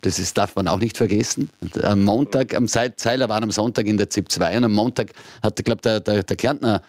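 A man's voice: on the low side, brisk, darkish tone, no room sound, clean studio sound. A man with an Austrian German accent hosting a call-in radio show, chatting casually with many filler sounds and restarts.

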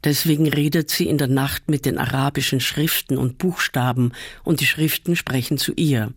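A man reading a first-person literary essay aloud in a measured, calm way, often slowing down. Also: medium pitch, slightly rough, no room sound, studio quality. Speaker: reader.

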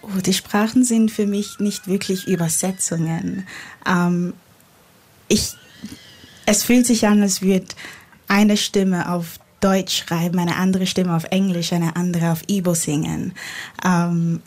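A woman's voice: on the low side, steady tone, slow, darkish tone. A young woman, answering reflectively as a guest poet in a live interview. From born in Nigeria, grew up in Graz, Austria.

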